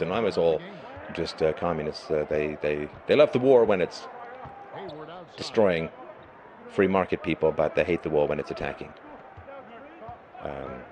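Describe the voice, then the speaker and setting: A middle-aged man with a hoarse voice, hosting a live stream and talking through his views in an emphatic, lecturing monologue. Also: rumbling low. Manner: emphatic, lecturing, with rising bursts of stress. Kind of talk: monologue commentary.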